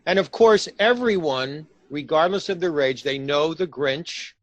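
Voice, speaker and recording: A man's voice: fairly high pitch, expressive, a little slow, a little hiss or hum. A man conducting a casual interview, feeling his way through questions in a conversational style. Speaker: interviewer, radio theatre company director. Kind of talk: casual, hesitant interview chat.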